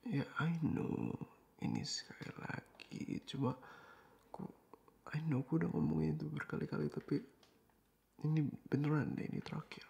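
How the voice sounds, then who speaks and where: A young man in a boyfriend roleplay, talking softly and coaxingly in a half-whisper, dropping English phrases into his speech. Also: on the high side, fairly even intonation, moderate pace, creaky.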